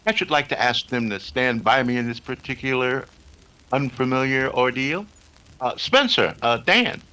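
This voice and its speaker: An actor playing a formal, erudite doctor of psychic research in a 1930s-style radio drama, with slight hesitations. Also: male voice, medium pitch, lively intonation, normal speed, pushed and bright, clear, resonant tone, poor audio. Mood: courteous, formal. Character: courtly, learned psychic investigator, a male doctor.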